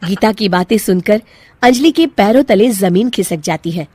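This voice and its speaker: A woman's voice: medium pitch, animated, moderate pace, background noise. A woman reading scripted narration for a cartoon family story.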